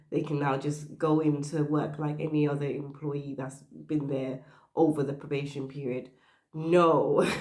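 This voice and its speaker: A woman with a very low voice, made croaky by the flu, gives career advice straight to the camera. She talks earnestly and leans on her points with little checks like "okay?". The room around her adds a noticeable echo. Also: a little mellow. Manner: earnest, emphatic, stressing points with "okay?" and "you know". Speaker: career advice channel host. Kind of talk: direct-to-camera advice talk.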